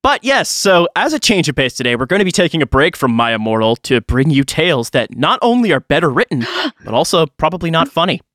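A man with a bright, forward voice chats casually as a podcast co-host. He is animated and slangy, and the sound is dry and close. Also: fairly high pitch, brisk, no echo.

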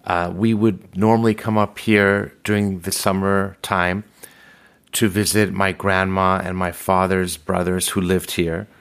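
A man in his fifties, originally from Iran, talks through his memories in a steady, thoughtful interview manner. His voice sits fairly low and unhurried, with occasional ums as he recalls details. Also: smooth. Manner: measured, reflective storytelling with fillers. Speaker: podcast host being interviewed. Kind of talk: conversational interview.

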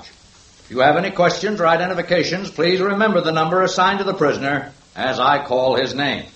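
An actor playing a businesslike police sergeant who announces a lineup in formal, procedural commands, in a 1950s radio crime drama. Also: male voice, fairly high pitch, a bit bright, muffled recording. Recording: roomy, slightly hissy old radio recording.

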